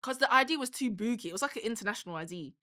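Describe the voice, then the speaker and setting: A bright, sharp-toned woman with a London accent hosts a casual podcast chat. She talks in an animated, slangy way and laughs often. Her voice is recorded dry and close. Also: a little high, very fast, dry recording. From South London, England. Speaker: podcast host.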